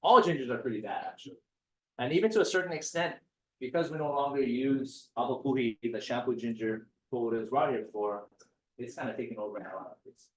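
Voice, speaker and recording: A man gives a relaxed, chatty talk full of jokes and asides. His voice sits at an ordinary middle pitch. The recording is echoey, with the speaker standing some distance from the mic. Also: a little fast. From Hawaii. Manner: chatty, joking, digressive. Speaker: guest speaker. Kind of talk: casual lecture talk.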